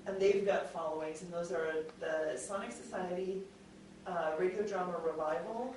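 A woman's voice: on the low side, moderate pace, darkish tone. A woman audio drama producer talking informally and chattily on a convention panel, explaining with examples. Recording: echoey room, distant mic, faint noise.

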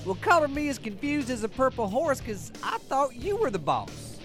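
A woman's voice: sits high, very animated, moderate pace, over music. A woman playing a naive, eager young ugly duckling in an audio drama, chatty and guileless. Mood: naive, friendly.

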